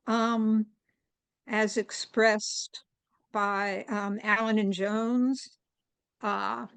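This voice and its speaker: Slow, halting woman on a dry line. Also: mid-range voice, no echo. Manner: halting, searching for words. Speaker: audience participant. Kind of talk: unscripted public comment. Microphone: audio-only online call.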